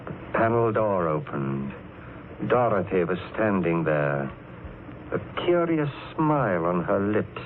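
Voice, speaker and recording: A man playing a guilt-haunted wife killer in a 1940s radio thriller, with halting, brooding, theatrical delivery. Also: low voice, sing-song, slight background noise, heavily acted. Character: guilt-haunted, menacing wife murderer narrating his downfall. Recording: tinny band-limited 1940s radio, music behind.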